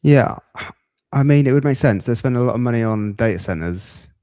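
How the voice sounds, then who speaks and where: A man's voice: medium pitch, expressive, a little fast, dark tone, dry recording, studio quality. A man hosting a podcast chats casually in a musing, tentative way. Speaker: podcast host. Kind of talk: casual reaction chat.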